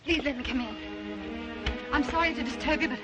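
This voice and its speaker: A woman's voice: sits high, brisk, over music, close to an everyday voice. A woman playing an anxious, refined young woman, pleading urgently in old film melodrama style. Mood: frightened, urgent. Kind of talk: 1930s film melodrama acting.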